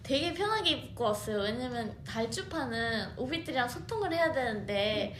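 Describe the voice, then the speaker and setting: Young female co-host with a high voice, warm and teasing, echoey studio with faint hiss. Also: lively intonation, moderate pace. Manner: warm teasing, laughs easily. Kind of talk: casual radio chat.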